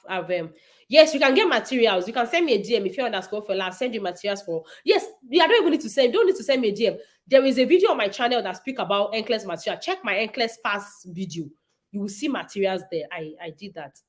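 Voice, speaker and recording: A woman, hosting a casual livestream and answering viewers' nursing questions in an emphatic, repetitive way. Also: mid-range voice.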